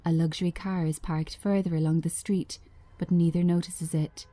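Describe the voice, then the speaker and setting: A woman giving a scripted narration of screenplay directions in a measured, understated manner. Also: on the low side, flat delivery, warm tone, no echo.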